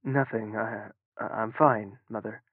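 An actor playing a reserved, anxious young prince in an audio drama, hesitant and guarded. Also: male voice, lowish voice, fairly even intonation, a little slow, no room sound, narrow, tinny audio. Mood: guarded, subdued. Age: young adult.

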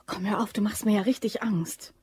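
A woman's voice: mid-range voice, steady tone, brisk, husky. An actress playing a hesitant, fond, easily alarmed female bookkeeper in a naturalistic German crime radio drama. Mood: frightened. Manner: naturalistic, questioning, often dropping to a hushed voice.

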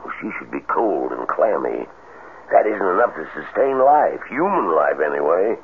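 Older small-town American doctor: low, animated, folksy, practical. Tinny vintage broadcast. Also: male voice. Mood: skeptical. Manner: folksy, blunt, drawn-out. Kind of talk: 1970s radio drama acting. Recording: tinny, faintly noisy old radio broadcast.

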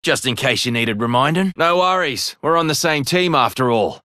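A man's voice: medium pitch, animated, moderate pace, pushed and bright, no room sound, clean studio sound. A man voicing a cheeky middle-aged brawler in a video game, with an Australian accent and sardonic, bantering delivery. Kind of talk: video game character voice lines.

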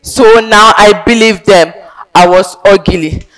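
A young woman with a Ghanaian accent performing a theatrical advocacy monologue on a large indoor stage, emphatic and declamatory with sudden loud swells. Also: mid-range voice, slow pace, low-quality recording. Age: young adult. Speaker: pageant contestant.

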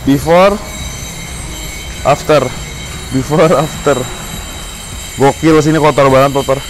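A man's voice: medium pitch, takes it slowly, low-quality recording. Santai young man. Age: young adult. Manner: relaxed, joking, slangy, talks while working. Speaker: vlogger. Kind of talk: casual vlog chat. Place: open garage courtyard, outdoors. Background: music.

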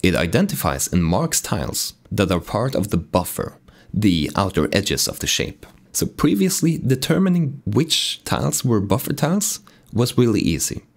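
A man with a raspy voice from being sick, calmly explaining code in a tutorial walkthrough. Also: lowish voice, normal speed. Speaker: game dev tutorial host. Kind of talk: screen-recorded coding tutorial narration.

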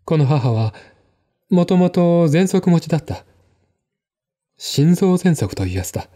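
A man playing a composed, articulate man in a one-man audio drama, explaining things in a measured, reflective way. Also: on the high side, very animated, mellow, pitched above the performer's own voice. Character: composed, articulate man recounting his stepmother's death.

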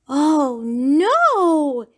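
A woman voicing an eager young male rabbit, high and excited. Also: takes it slowly, exaggerated voice. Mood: dismayed.

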